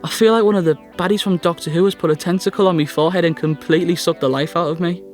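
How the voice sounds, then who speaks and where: An actor playing a sickly, self-pitying teenage schoolboy with a North East English accent in an audio drama monologue, glum and sardonic. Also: male voice, fairly high pitch, steady tone, fast talker, a little mellow, soft background music, close to an everyday voice. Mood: miserable, self-pitying. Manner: glum, complaining, melodramatic.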